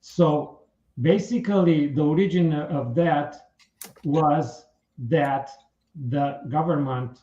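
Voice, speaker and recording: Earnest Romanian-accented man, halting and measured, warm tone, muffled echoey studio. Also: fairly high pitch. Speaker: political candidate. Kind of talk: radio interview.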